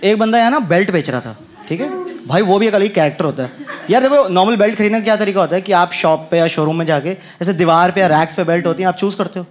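A man's voice: high voice, fast talker. A young man performing stand-up comedy on an indoor stage into a handheld microphone, acting out street vendors in put-on voices. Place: indoor comedy stage with brick wall and a live audience. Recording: loud audience laughter and noise.

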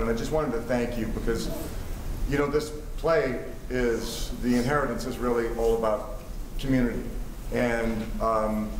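A middle-aged actor giving an earnest curtain speech to a theater audience, halting and full of restarts. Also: male voice, medium pitch, slight background noise. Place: large theater stage. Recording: roomy theater sound from far off.

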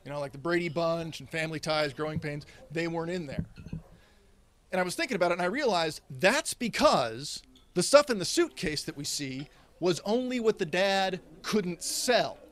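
A man in his thirties doing a deadpan stand-up comedy routine at a stand mic, gesturing as he talks. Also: a little high, moderate pace. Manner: deadpan delivery with animated, sweeping hand gestures. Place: indoors, small set in front of a red curtain. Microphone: stand mic in front of him.

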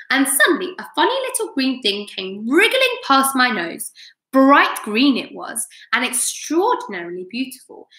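Storybook centipede, a loud cheeky rascal played by a woman, bright and swooping, in a roomy echo. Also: high voice, heavily acted. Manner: boastful, excitable, exclaiming. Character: boastful rascally male centipede, loud and gleeful.

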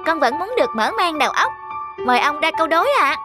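A woman's voice: sits high, expressive, fast talker. A woman voicing a cheeky clever little boy. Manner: polite but cheeky. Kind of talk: cartoon voice acting. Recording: music playing under voice.

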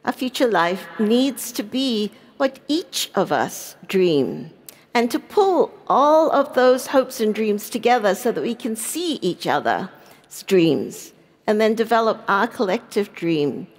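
A woman's voice: mid-range voice, big pitch swings, slow pace. Older woman rousing a conference crowd. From Singapore. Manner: earnest and rousing, rhetorical questions. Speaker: panelist. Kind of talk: conference panel talk. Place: large conference stage. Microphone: headset mic.

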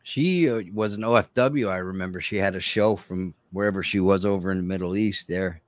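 Man from New York, middle-aged, deep voice, dry sound, casual livestream chat. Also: brisk, no room sound. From New York, United States.

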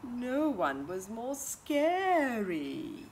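Storybook woolly mammoth played by a woman: big, boastful, slow and dramatic, outdoors with faint noise. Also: on the high side, wildly swooping pitch, darkish tone, exaggerated voice. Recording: outdoor ambient garden noise.

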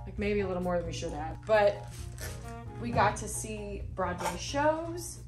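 A woman's voice: medium pitch, very animated, unhurried, over music. Frank, salty woman salon owner. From Las Vegas, United States. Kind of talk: candid personal storytelling. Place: her salon.

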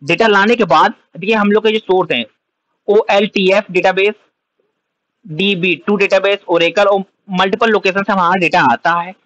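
A man with a fairly high voice lectures over a screen recording, putting a question and then answering it himself as he goes. His voice is close and dry, with a slightly dull, muffled sound. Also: steady tone, moderate pace, fairly bright tone, no echo. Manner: explains step by step, asks and answers own questions. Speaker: computer science teacher. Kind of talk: whiteboard lecture.

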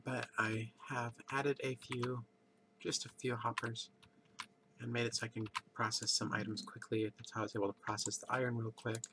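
A man's voice: mid-range voice, level tone. A man with a congested, stuffy voice, just woken up and casually rambling through gameplay commentary from his computer at home. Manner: rambling, thinks aloud with pauses. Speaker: let's play host. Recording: background noise with keyboard clicks.

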